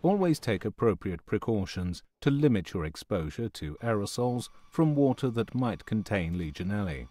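A man reading scripted narration for a training film in a measured, instructional manner. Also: on the low side, very animated, mellow.

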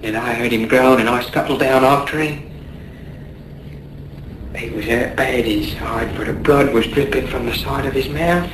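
A man acts a sober, plain-spoken seaman in a radio play. His voice is mid-pitched and grave. The recording is roomy and noisy. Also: moderate pace, close to an everyday voice. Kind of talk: radio drama acting.

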